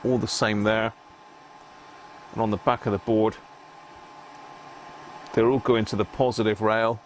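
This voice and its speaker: Male live-stream host, lowish voice, casual halting workbench commentary, clear background noise. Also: little pitch movement, poor audio. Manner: thinks aloud in short, halting phrases.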